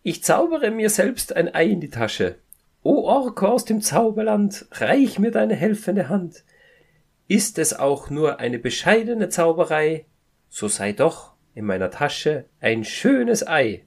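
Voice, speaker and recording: A man plays a bumbling little cartoon wizard in a raised, high voice. The delivery is hesitant and singsong, with a creaky edge, as if from a children's audio play. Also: big pitch swings, slow, darkish tone, exaggerated voice. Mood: theatrical.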